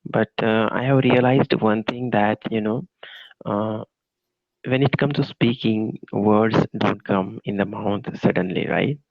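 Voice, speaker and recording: A man from India with an Indian accent, learning English in a casual practice conversation, polite and halting with repeated words. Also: fairly low pitch, fairly even intonation, vocal fry, dry recording. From India, Maharashtra. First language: Ahirani. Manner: polite, halting non-native phrasing with repeats. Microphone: online call. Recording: dull lo-fi call audio.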